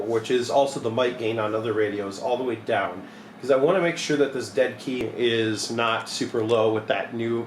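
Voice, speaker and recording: Man teaching in an echoey, noisy room. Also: mid-range voice. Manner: plain-spoken, step-by-step. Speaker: repair technician demonstrating a modification. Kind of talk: hands-on tutorial, informal explaining. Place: small cluttered electronics repair workshop, indoors. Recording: echoey room with clear background noise.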